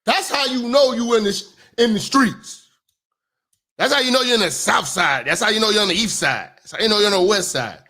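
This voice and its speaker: A man hosting a comedic commentary, talking loud, profane and animated, sometimes shouting. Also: sits high, a little fast. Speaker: commentary host.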